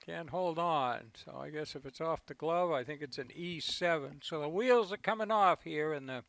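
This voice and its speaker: Fairly high-voiced man losing his voice. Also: moderate pace, gravelly, a bit bright. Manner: low-key, conversational calls. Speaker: play-by-play commentator. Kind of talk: local baseball commentary.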